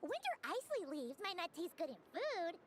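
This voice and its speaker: A woman voicing a tiny floating fairy companion who is bossy and excitable, with a shrill voice, in a video game. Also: moderate pace, heavy background noise. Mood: chirpy. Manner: shrill, talks about herself in third person. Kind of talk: game voice acting. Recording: game soundtrack behind.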